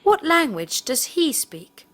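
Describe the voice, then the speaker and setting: A woman's voice: sits high, very animated, takes it slowly, sharp tone. A woman in the role of a language teacher, reading scripted questions with careful phrasing. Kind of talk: scripted question drill, read aloud.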